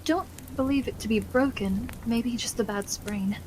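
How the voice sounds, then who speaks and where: Genteel young wife and nurse played by a female actor, higher voice, tender and polite, ASMR roleplay with faint rain noise. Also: normal speed, darkish tone, lightly acted, rough recording. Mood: tender, affectionate. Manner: genteel, tender, old-fashioned polite wording. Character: Irina, genteel caring young wife tending the wounded.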